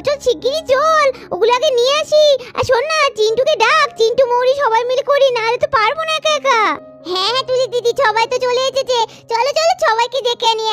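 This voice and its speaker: A woman voicing eager, bossy little children in a village doll cartoon, with squeaky, chattering delivery. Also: a bit bright. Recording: faint children's music behind.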